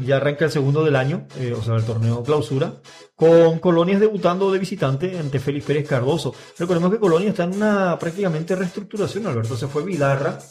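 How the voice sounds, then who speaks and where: A man's voice: a little high, brisk, a little mellow, soft background music. Radio sports co-host man. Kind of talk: radio sports news chat.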